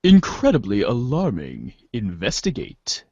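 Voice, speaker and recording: A man's voice: medium pitch, wildly swooping pitch, a little slow, muffled recording, heavy background noise, pitched below the performer's own voice. A man voicing a bossy, theatrical, alarmed drifter giving orders in a fan theater piece. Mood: commanding, alarmed.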